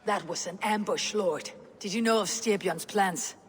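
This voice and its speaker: Woman acting a loyal viking warrior, wary n blunt, mid pitch, bit of background noise. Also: normal speed, lightly acted. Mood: guarded, loyal. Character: loyal, steady Viking warrior of the Raven clan. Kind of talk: dramatic scripted game dialogue. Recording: faint ambient background noise.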